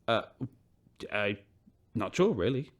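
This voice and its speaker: An actor playing a middle-aged ship captain who speaks in a dry, officious way. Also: male voice, fairly low pitch, big pitch swings, slow. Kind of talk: sci-fi radio drama.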